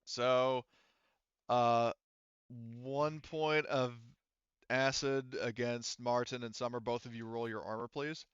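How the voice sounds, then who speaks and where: A man's voice: mid-range voice, steady tone, slow, clear, resonant tone, no room sound, dull audio. A man speaking casually as a game master, narrating the action and explaining rules in an informal, mildly profane way.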